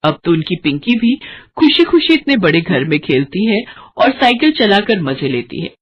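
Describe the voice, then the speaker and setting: A woman narrating a scripted children's moral story in an expressive storyteller's manner. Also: fairly low pitch, normal speed, slightly rough, muffled recording.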